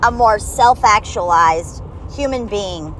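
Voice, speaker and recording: A woman with a high-pitched voice gives a blunt, profane monologue straight to the listener, slowing down to stress key words. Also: lively intonation, a bit bright, a little hiss or hum, poor audio. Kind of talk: direct-to-camera monologue.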